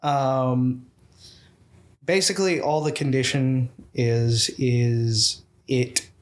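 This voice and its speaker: Young guy from tennessee, earnest, talks it through slow and careful. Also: medium pitch, steady tone. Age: young adult. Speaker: guest, program founder. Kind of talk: interview talk.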